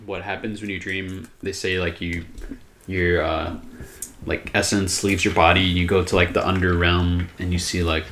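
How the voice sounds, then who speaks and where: A woman guest on a casual podcast with a low voice, musing in a laid-back, rambling way. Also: level tone, moderate pace, a bit bright. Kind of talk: casual chat. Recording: noisy recording.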